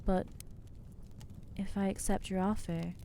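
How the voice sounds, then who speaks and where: A woman playing an anxious, newly turned vampire in an ASMR roleplay, speaking in a hesitant, earnest, halting way with gentle inner conflict. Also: medium pitch, steady tone, gravelly, slight background noise. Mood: tentative hope. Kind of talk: ASMR roleplay acting, intimate monologue.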